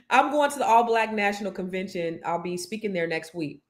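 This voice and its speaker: A woman's voice: mid-range voice, measured pace. A woman hosting a casual talk show, chatting and laughing often as she tells stories. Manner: laughs often, animated storytelling. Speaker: podcast host. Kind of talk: casual chat.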